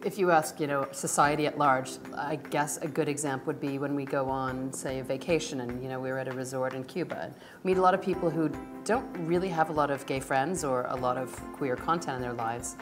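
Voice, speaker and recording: A woman's voice: a bass voice, fairly quick, few pauses, music in the background. A woman explaining things conversationally as an interviewee. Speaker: interviewee in a documentary.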